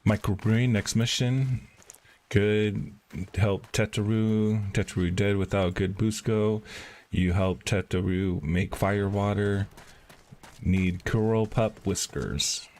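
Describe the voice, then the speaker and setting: A man's voice: lowish voice, fairly even intonation, slow, darkish tone, a little hiss or hum. A man doing casual commentary while playing a video game, reading quest text aloud as he goes. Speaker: game streamer.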